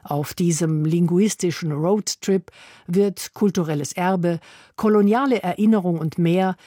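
Low, mellow-voiced woman narrating. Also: measured pace, no room sound, clean studio sound. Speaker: radio presenter. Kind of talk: scripted narration.

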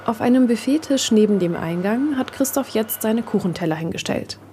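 Woman, radio reporter, soft mellow tone, scripted reportage reading. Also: fairly high pitch, animated, normal speed, a little hiss or hum.

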